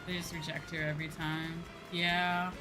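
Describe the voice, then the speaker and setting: A woman's voice: lowish voice, flat delivery, moderate pace, over music, low-quality recording. A woman streamer chatting casually and informally to viewers, musing aloud and circling back over her phrases. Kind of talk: casual chat while livestreaming a video game.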